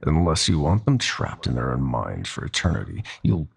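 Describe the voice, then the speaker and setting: A man playing a menacing, gloating god of nightmares in an audio drama, speaking in silky, mocking threats with theatrical slowness. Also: sing-song, background noise, exaggerated voice. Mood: threatening. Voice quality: very deep, gravelly, breathy. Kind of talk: roleplay audio drama acting.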